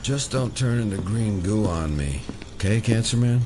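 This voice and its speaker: A man's voice: fairly low pitch, lively intonation, moderate pace, background noise, natural voice. A man playing a wary investigator in an audio drama, with a dry, sardonic manner. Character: wry, wary male investigator.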